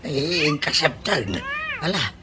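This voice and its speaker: Slow-talking grumbling older father, Ilocano accent, radio comedy drama. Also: on the high side, big pitch swings, heavy background noise.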